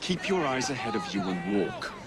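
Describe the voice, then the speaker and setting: An actor playing a cocky, teasing young English gang leader in a video game cutscene. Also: male voice, on the low side, big pitch swings, normal speed, a loud crowd. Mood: cocky, menacing. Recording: loud music behind the voice.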